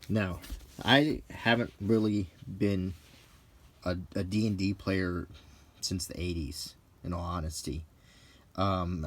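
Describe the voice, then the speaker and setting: A middle-aged man talking casually and unscripted in an unhurried, musing way with frequent pauses, like an unintentional ASMR video. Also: low-pitched. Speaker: channel host.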